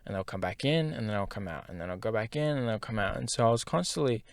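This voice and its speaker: A man's voice: on the low side, fast talker, gravelly, no room sound. Candid young man in a dry room. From half Japanese, schooled in Singapore. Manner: candid, animated, drops into slang and filler words. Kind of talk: casual storytelling monologue.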